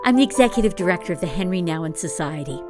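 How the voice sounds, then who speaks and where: Woman podcast host, lowish voice, measured and formal, music behind her. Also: lively intonation. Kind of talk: scripted podcast introduction and interview.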